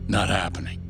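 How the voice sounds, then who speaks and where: An actor playing a weary older law-office boss in a video game drama, speaking in a stern, blunt, fatherly way. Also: close to an everyday voice. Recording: background music under the dialogue.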